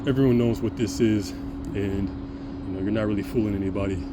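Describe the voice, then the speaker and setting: A man with a deep voice giving an earnest, rambling monologue to camera, restarting and stammering often. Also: steady tone, fast, heavy background noise. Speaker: vlogger.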